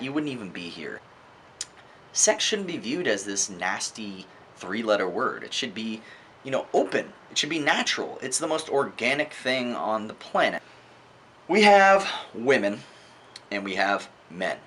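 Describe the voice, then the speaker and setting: A man's voice: mid-range voice, sing-song, measured pace, pushed and bright, slight background noise. A man speaking casually and emphatically in an opinionated video monologue as a book-reviewing host, repeating words for effect and swearing freely. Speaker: vlog host reviewing books.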